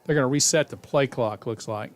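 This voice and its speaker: A man giving conversational, opinionated color commentary on a high school football radio broadcast. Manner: conversational, opinionated, quick to react.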